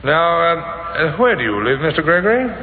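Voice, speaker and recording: An actor playing a courteous, probing police inspector in an old radio drama, with hesitant, polite questioning. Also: male voice, fairly high pitch, sing-song, a little fast. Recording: tinny, noisy old radio recording.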